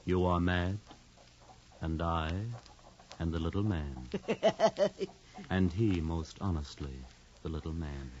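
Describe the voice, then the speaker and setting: A man's voice: low-pitched, sing-song, darkish tone, exaggerated voice. A man in a 1950s radio drama playing a brooding, theatrical conspirator who speaks in grandiose, poetic, domineering phrases. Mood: grandiose, coaxing. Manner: grandiose, slow, deliberate, domineering. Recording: dull, noisy 1950s radio recording.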